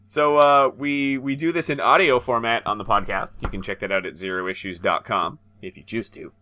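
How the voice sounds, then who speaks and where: A man's voice: medium pitch, normal speed, a little hiss or hum. A man co-hosting a comedy podcast, narrating an old comic with frequent laughter. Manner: laughs often, animated narrator. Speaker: podcast co-host. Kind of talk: comedic dramatic reading and banter.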